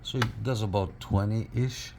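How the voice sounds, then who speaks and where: A man giving a casual, unscripted hands-on review, speaking haltingly and often repeating his phrases. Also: lowish voice, gravelly, noisy background. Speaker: radio reviewer and CB operator.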